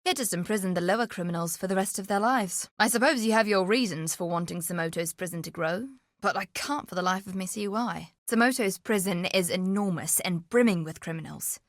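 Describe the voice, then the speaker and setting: An actress playing a shrewd, greedy treasure hunter in a video game, telling tales theatrically with dry, sardonic asides. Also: female voice, medium pitch, brisk, hardly any pauses, no echo, close to an everyday voice. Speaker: video game character voice actor. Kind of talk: scripted game character dialogue.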